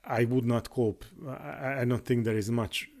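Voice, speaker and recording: A man whose first language is Hungarian, talking in an unscripted monologue on his channel, halting with many restarts and fillers. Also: mid-range voice, fairly even intonation, darkish tone. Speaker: YouTuber.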